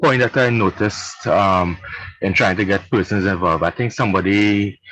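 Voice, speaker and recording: Measured male club member, lowish voice, dry close sound. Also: a bit bright, no room sound, rough recording. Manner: measured, thinks aloud. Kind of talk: casual discussion on a video call.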